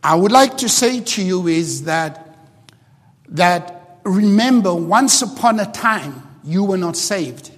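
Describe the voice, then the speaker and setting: A man whose first language is Afrikaans, preaching a sermon in English with halting restarts and rising emphasis. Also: high-pitched, lively intonation. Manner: starts quiet and halting, builds to loud emphatic preaching. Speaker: pastor. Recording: long hall reverb.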